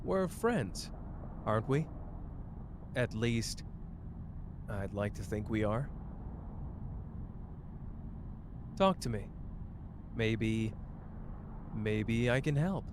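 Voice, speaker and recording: Guy voicing cocky young sorcerer dude for asmr roleplay, teasing but sweet, super expressive pitch, car noise behind him. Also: slow pace, pauses often. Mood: gentle, earnest. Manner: teasing, casual, tender. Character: cocky, playful, caring young male sorcerer, protective partner. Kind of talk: roleplay ASMR acting, addressed to the listener.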